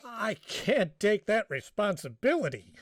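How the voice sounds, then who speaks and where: A man's voice: sits high, sing-song, a little slow, no room sound, studio quality, heavily acted. A man playing a flustered, reluctant government undersecretary in a radio drama. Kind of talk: live radio drama acting.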